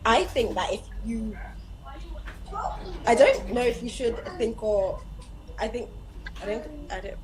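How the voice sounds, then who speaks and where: A young woman with a croaky voice hosting a casual live chat, bubbly and laughing often, sometimes restarting when tongue-tied. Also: a little high, a little slow, pauses often, darkish tone, rough recording.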